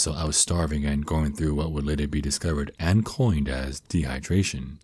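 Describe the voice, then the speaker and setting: Man playing a very deep, husky vampire naga boyfriend. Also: a little mellow, exaggerated voice. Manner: slow, soothing, teasing endearments. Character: centuries-old male vampire naga boyfriend, tender mentor. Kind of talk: ASMR roleplay, intimate monologue.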